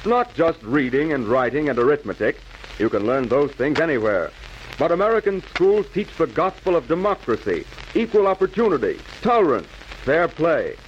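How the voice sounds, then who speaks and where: A man's voice: on the high side, big pitch swings, normal speed. A man playing a solemn patriotic orator declaiming a wartime speech in 1940s radio style. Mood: solemn, fervent. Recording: dull, noisy old 1940s radio recording.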